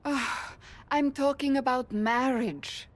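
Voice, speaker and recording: A woman's voice: high voice, slow. A woman voicing an earnest, dignified loyal friend and adviser in a video game cutscene. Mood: matter-of-fact.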